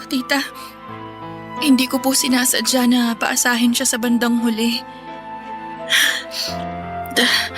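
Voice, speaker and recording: A young woman acting in a radio drama, speaking softly and respectfully with a remorseful tone. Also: high voice. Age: young adult.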